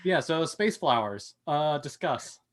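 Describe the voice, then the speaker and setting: A man's voice: a little high, lively intonation, takes it slowly. A man co-hosting a casual panel discussion with a wry, jokey, opinionated way of talking. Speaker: podcast co-host.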